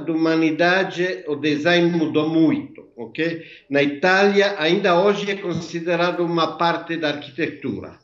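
A man in his sixties with an Italian accent, a native Italian speaker, giving measured, methodical explanations as an interview guest over a video call. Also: on the high side. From Italy. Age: 60s. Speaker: interview guest, architect and designer. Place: indoor workroom, remote video call. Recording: echoey, dull video-call audio.

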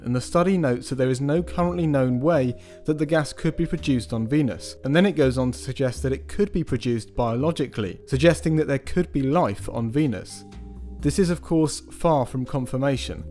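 A man narrating a scripted science news roundup in a measured, even voice-over. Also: medium pitch. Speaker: news presenter.

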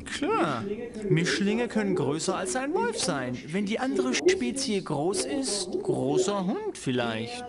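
Halting scientist with swooping intonation. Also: male voice, sits high, huge pitch swings, over a noisy crowd, exaggerated voice. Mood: pondering, unsure. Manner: halting, deliberating, searching for words. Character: hesitant, meticulous male lab scientist examining specimens. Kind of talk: German-dubbed video game dialogue. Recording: loud music behind the voice. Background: loud game music.

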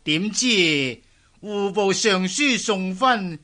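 A man's voice: high voice, animated, very slow, bright, forceful tone, full character voice. A man playing an obsequious eunuch servant in a radio drama, drawing out his words and eager to agree. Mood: deferential, reporting. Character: fawning palace eunuch servant of the emperor. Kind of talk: Cantonese radio drama acting.

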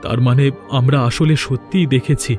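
Worried cartoon village husband, a man acting, medium pitch. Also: fairly even intonation, normal speed, darkish tone, natural voice. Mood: earnest. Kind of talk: Bengali cartoon dubbing. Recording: music under the voice.

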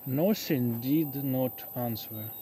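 A man in his thirties presenting a radio hobby vlog outdoors in short, plain, halting phrases. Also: medium pitch, very noisy. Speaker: amateur radio operator presenting his field outing. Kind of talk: simple scripted vlog narration. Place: outdoors in a snowy forest.

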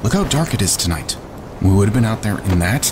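Cautious camper, man, deep voice, practical, firm, naturalistic audio drama, noticeable ambient noise. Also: very animated, quick pace. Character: practical, cautious male wilderness camper, reassuring his partner.

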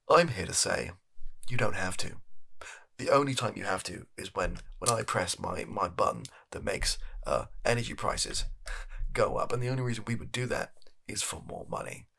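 A young British man playing a shameless, evasive energy company boss in an improvised comedy monologue, speaking softly with a halting, deadpan manner. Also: lowish voice, creaky, husky, full character voice. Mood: falsely reassuring. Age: young adult. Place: small home bedroom studio.

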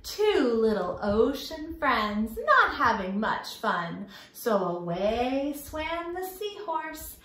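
A woman in her thirties hosting a storytime for young children, encouraging and expressive, speaking to a camera a short distance away indoors. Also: a little high, slow pace, few pauses. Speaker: children's library storytime host. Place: small indoor room, echoey. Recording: echoey room.

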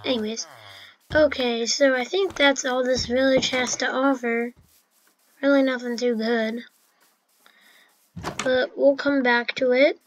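Slow-talking woman narrating gameplay. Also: high voice, steady tone, muffled recording. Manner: unhurried, chatty, with many fillers and restarts. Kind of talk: casual gameplay commentary.

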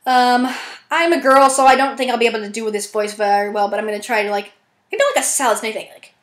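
High female voice, casual chat, giggly, self-deprecating, amateur voice actor. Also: steady tone, fast talker. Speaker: amateur voice actor recording an audition. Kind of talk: casual chat between takes.

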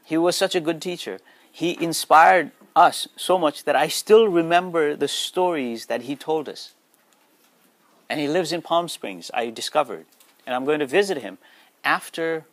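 A man from Nepal, whose first language is Nepali, speaks earnestly to a room of students and keeps checking in with them. His voice sits a little higher than most men's. The sound is dry and close, with almost no room echo. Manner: earnest, asks the audience questions. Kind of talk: motivational school talk.

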